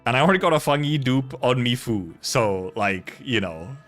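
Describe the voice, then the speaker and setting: A man's voice: medium pitch, lively intonation, normal speed, fairly bright tone, soft background music. A man chatting casually on a game livestream, rambling and repeating himself as he talks. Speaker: game streamer.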